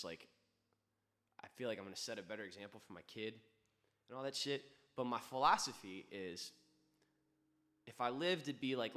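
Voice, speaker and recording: A man's voice: fairly low pitch, sharp tone. Brief: male musician, loose rambling chat between songs, swears freely, easygoing, speeds up in bursts. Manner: rambling, profane, laid-back with quick bursts. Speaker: singer-songwriter hosting a livestream.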